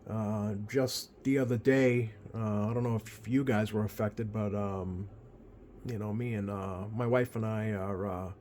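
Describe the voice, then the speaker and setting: An American man giving a casual, unscripted product walkthrough as a hobbyist, speaking in a hesitant, halting way with drawn-out pauses. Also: lowish voice. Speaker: radio hobbyist presenting a video. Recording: clear steady background noise.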